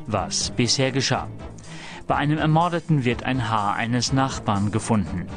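Male announcer, mid-pitched, scripted radio serial recap, clear music bed. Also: lively intonation, normal speed.

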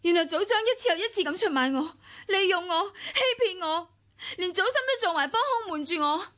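An actress with a Hong Kong Cantonese accent playing a resentful, impulsive, easily hurt wife in a radio drama. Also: female voice, very high-pitched, lively intonation, fairly quick, fairly bright tone. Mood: anguished.

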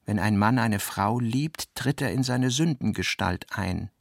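Articulate radio-drama narrator, dry sound. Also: male voice, on the low side, unhurried, no echo. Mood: elevated. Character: literary male narrator recounting and quoting his guest's talk.

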